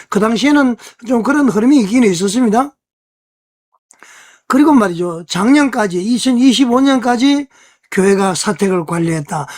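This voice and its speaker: An online church news commentator speaks in a mid-range voice. The delivery is conversational and explanatory, walking viewers through the details and often turning to them with rhetorical questions. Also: mid-range voice.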